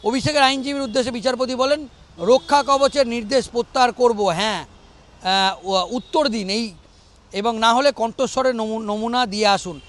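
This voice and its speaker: A man giving an unscripted news report in a halting, repetitive way. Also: very high voice, a bit bright. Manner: halting, repeats phrases.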